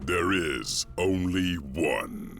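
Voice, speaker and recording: A man playing a menacing, tyrannical alien warlord in a video game, with a grandiose, ominous delivery and a very deep gravelly voice. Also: lively intonation, extremely slow, exaggerated voice. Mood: menacing, grand. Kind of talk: video game villain acting. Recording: music behind.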